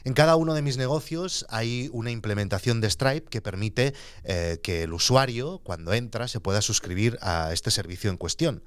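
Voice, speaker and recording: A man in his forties, hosting a podcast, calmly and methodically explaining how his business handles money. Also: mid-range voice, moderate pace, clean tone, dry recording. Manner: calm, methodical, explains terms as he goes. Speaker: podcast host and entrepreneur. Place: small studio in front of a blue backdrop. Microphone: studio microphone on a boom arm.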